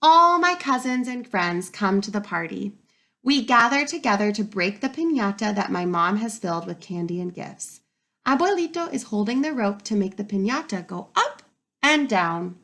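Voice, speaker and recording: A woman reading a picture book aloud to young children like a teacher, expressive and pausing to ask wondering questions. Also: mid-range voice. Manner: expressive, pauses to pose questions to young listeners.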